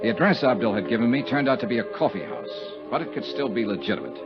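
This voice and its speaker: An actor playing a tough, wry American investigator in a 1950s radio noir, speaking in a clipped, sardonic way. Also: male voice, medium pitch, lively intonation, quick pace, over music, natural voice. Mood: tense narration. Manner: clipped, hard-boiled, sardonic. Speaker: lead detective and narrator. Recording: dull vintage 1950s radio recording.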